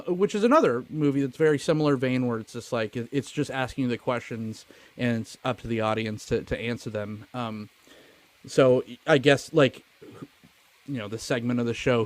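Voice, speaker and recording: A man hosting a movie podcast in a casual chat, joking, swearing and putting himself down. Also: mid-range voice. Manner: joking, profane, self-deprecating. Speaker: podcast host.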